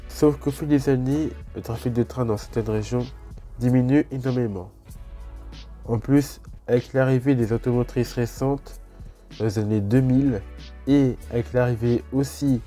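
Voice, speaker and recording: A man from France talks viewers through a history topic in a relaxed, explanatory way. His voice is deep-toned and very mellow, at an ordinary pitch, and he breaks off now and then for chatty asides. Faint music plays underneath. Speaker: host of a railway history channel. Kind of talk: casual explanatory narration.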